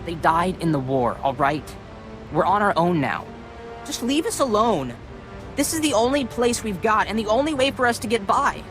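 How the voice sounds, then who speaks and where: An actress voicing a wary, defensive orphaned street kid in a sci-fi video game. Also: female voice, medium pitch, very animated, normal speed, over music, natural voice.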